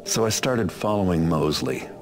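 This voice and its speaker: A man playing a cynical, sardonic master thief, narrating video game mission briefings in a dry, deadpan, understated way. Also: normal speed. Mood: brooding, suspicious. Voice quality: low gravelly voice. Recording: voice over a game music bed.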